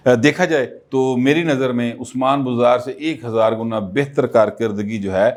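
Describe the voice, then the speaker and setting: Man, political commentary, chatty, lots of um pauses, mid pitch. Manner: conversational, many filler pauses.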